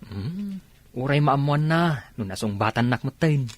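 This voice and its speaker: A man's voice: mid-range voice, animated, unhurried, dry recording. A man playing a grumbling young fruit picker who banters with his cousin in a Filipino-accented radio drama.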